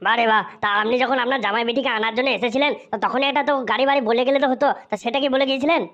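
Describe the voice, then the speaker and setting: A woman's voice: on the high side, steady tone, racing, a bit bright, muffled recording, close to an everyday voice. A woman voicing a touchy, rude, indignant young bridegroom in a comedic cartoon. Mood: scolding.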